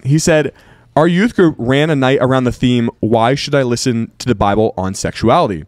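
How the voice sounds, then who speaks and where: A young man hosting a casual podcast in a studio, reading listener stories aloud with lively emphasis into a boom mic. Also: moderate pace, dry recording. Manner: upbeat, reads listener stories with animated emphasis. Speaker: podcast host. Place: orange-walled podcast studio. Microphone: studio mic on boom arm.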